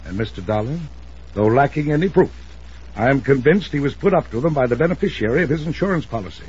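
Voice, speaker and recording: Commanding, animated wealthy older man played by an actor. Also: medium pitch, close to an everyday voice. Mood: insistent, grave. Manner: emphatic, deliberate, domineering. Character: wealthy older uncle, insistent, commanding, anxious patriarch. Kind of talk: 1950s radio drama acting. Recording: dull, noisy old radio recording.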